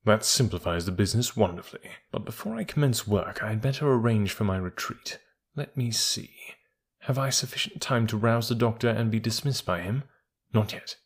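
A man voicing a sly, soft-spoken male burglar with a put-on French accent, murmuring to himself. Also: fairly low pitch, expressive, fast talker, few pauses. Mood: hushed, pleased.

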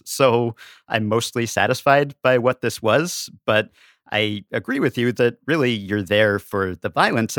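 A man's voice: fairly low pitch, a bit bright, no room sound, clean studio sound. A man speaking as a guest in a conversational movie discussion, articulate and analytical, in long flowing sentences. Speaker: podcast guest, staff writer.

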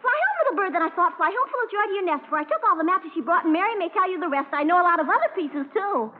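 A woman's voice: extremely high pitch, animated, racing. A woman playing a proud, stubborn little schoolgirl with a sing-song reciting manner in an old radio drama. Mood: relentlessly reciting. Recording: echoey, tinny, noisy old radio broadcast.

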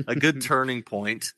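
A man in his twenties who hosts a podcast, with a deep radio voice, chatting casually and laughing often. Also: pushed and bright, dry recording. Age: 20s. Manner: joking, laughs often between phrases. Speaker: podcast host. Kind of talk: casual chat.

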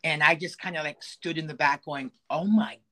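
Raspy-voiced podcast host. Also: male voice, on the high side, fairly even intonation, normal speed, sharp tone. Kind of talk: casual podcast chat.

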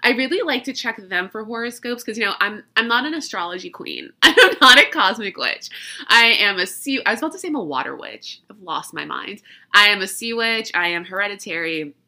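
A woman talks through a stuffy, allergy-clogged nose as she hosts her podcast. She is chatty and quick to laugh at herself, with a very bright, forward tone. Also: mid-range voice, sing-song. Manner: chatty, laughs often, self-deprecating. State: congested from allergies. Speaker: podcast host. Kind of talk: casual chat.

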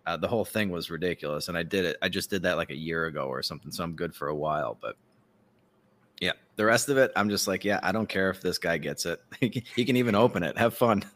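Guy w really deep punchy voice just chatting on a podcast, kinda sardonic, swears a bit. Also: brisk, a bit bright. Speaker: podcast co-host. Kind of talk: casual chat.

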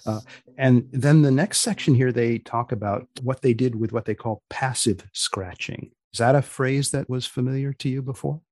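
A man hosting a podcast, putting questions to a guest in a deliberate, wry, halting conversational way. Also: medium pitch, darkish tone, dry recording. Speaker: podcast host interviewing a guest.

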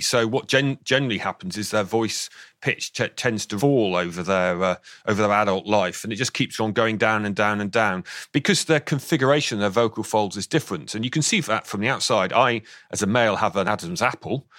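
Slightly croaky man in his fifties. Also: on the low side, a bit bright, no echo, clean studio sound. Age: 50s. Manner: explanatory, thoughtful with frequent restarts. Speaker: author and guest. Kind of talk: interview on voice science.

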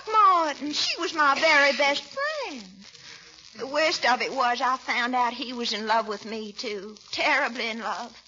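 High, gushing belle, old radio. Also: female voice, animated, a little slow, sharp tone, full character voice. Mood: wistful, dramatic. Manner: gushing, sighing, melodramatic. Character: coquettish, theatrical, flattering woman, newly home, lovelorn. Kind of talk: classic American radio comedy acting. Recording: muffled old radio recording, faint hiss.

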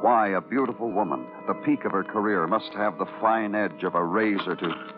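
An actor playing a hardboiled, world-weary police detective in old radio noir style, brooding and clipped, with deliberate phrasing. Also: male voice, fairly low pitch, over music, natural voice. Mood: brooding, somber. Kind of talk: 1940s-50s radio noir narration. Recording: narrow, muffled old radio recording.

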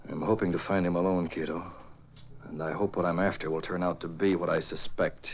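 An actor playing a calm, determined crime-fighting hero in a 1940s radio drama, speaking in a measured, confiding way. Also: male voice, low-pitched. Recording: dull, noisy old radio recording.

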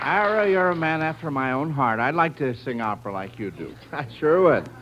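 A man's voice: a little high, animated, fairly quick, a bit bright, highs cut off, a faint crowd, a little hiss or hum. An American man hosting a 1940s radio quiz show in a folksy, encouraging way, on an old recording.

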